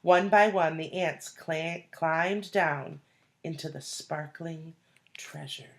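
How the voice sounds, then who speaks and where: A woman reading a children's storybook aloud like a teacher, deliberately, pausing to explain and put questions to young listeners. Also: low voice, fairly even intonation, gravelly. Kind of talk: expressive storybook read-aloud with asides and questions.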